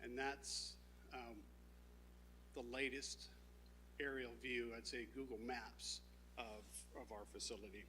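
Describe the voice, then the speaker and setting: Halting man presenting at a town meeting. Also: medium pitch, flat delivery, noisy background. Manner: halting, with many fillers and restarts; says he has a loud voice. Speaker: presenting applicant at a zoning meeting. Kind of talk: informal public presentation.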